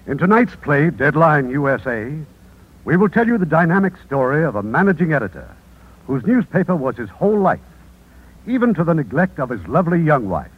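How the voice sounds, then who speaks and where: A man's voice: fairly high pitch, sing-song, a little fast, husky. A man hosting a radio theatre program, giving a formal, theatrical scripted introduction to a play. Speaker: producer and host introducing the play. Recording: tinny vintage radio broadcast recording.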